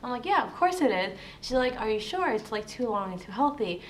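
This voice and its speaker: A woman's voice: on the high side, a little fast, a little hiss or hum, rough recording. A woman student telling an anecdote animatedly, acting out what was said. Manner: animated storytelling, acts out dialogue.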